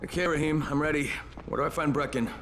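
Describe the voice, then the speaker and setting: An actor playing a sardonic tough survivor, a newcomer proving himself, in a video game, with a wry, informal way of talking. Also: male voice, fairly high pitch, fast talker, fairly bright tone, a little hiss or hum, rough recording. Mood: impatient.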